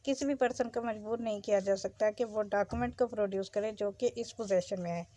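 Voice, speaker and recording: A woman's voice: on the high side, warm tone. A woman with a Pakistani accent giving an explanatory law lecture, as a teacher going through legal text.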